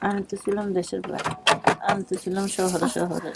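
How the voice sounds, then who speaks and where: A woman's voice: lowish voice, level tone, brisk, gravelly. A woman with a rural Bangladeshi accent, recalling family life in casual talk, with some background noise. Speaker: guest, relative at a family reunion.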